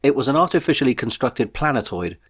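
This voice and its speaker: A man reading his own novel as a scripted audiobook, in a measured storytelling manner. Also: medium pitch, big pitch swings, husky.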